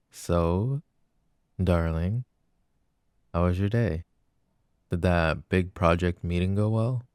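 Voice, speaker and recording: Man acting a deep-voiced, loving but jealous boyfriend. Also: warm tone, no echo, clean studio sound. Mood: tender, teasing. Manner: hesitant, halting, intimate. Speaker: voice actor. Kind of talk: boyfriend roleplay ASMR.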